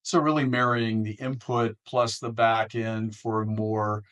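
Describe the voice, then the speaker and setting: An American man hosting an interview in a conversational, careful way, with deliberate and somewhat halting phrasing. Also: on the low side, little pitch movement, fairly bright tone. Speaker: interview host.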